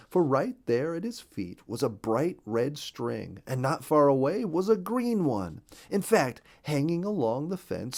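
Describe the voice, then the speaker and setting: A man narrating a children's storybook in a gentle, expressive reading voice, recorded on a studio microphone. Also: mid-range voice. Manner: gentle, expressive reading with a lot of rise and fall.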